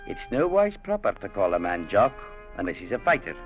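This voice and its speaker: An actor playing a principled, peace-loving trader, earnest and stern, in a vintage radio drama. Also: male voice, lowish voice, wildly swooping pitch, fairly quick, soft background music. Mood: earnest, calm. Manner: earnest, old-fashioned turns of phrase. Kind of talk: 1950s radio drama acting. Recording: muffled vintage radio recording.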